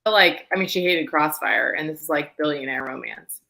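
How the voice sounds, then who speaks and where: Low-pitched, bright-voiced woman host. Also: expressive, fairly quick, creaky. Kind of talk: casual book chat on a live show.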